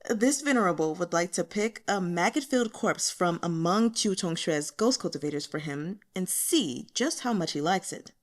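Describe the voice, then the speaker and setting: A woman's voice: fairly low pitch, animated, normal speed, hardly any pauses, natural voice. A woman voicing a commanding, protective male cultivation lord in an audiobook, speaking formally and with self-assurance. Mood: dryly menacing.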